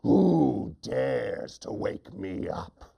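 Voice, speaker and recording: A big, ferocious storybook lion acted out by a man talks slowly and imperiously. He draws his words out with haughty weight and lively swoops of pitch. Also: vocal fry, exaggerated voice. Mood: menacing. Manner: slow, drawn-out, imperious. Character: big ferocious male lion, haughty but easily amused.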